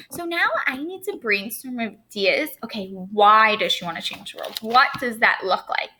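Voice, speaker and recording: A woman's voice: on the high side, pushed and bright. A young adult woman teacher explaining a writing lesson to her students step by step in an upbeat way. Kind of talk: recorded classroom lesson.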